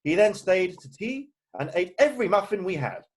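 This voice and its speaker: A man playing a proper, earnest gentleman guardian in an amateur play reading, formal and stiffly indignant. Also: sits high, sing-song, normal speed. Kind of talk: amateur play read-through.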